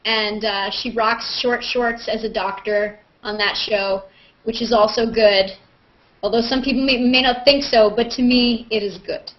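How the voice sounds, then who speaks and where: A woman with a slightly high voice hosts a loose group chat. She talks in a joking, slangy way. The sound is dull and lo-fi, like a video call. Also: fairly even intonation, unhurried. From Canada. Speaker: host. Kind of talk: casual group chat on a live video hangout.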